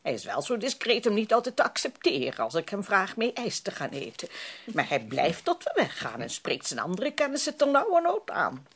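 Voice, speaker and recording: A woman's voice: mid-range voice, wildly swooping pitch, moderate pace, husky, exaggerated voice. A woman acting a worried, proper middle-aged society mother in a period radio drama, with a genteel, fretful, emphatic manner. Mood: exasperated.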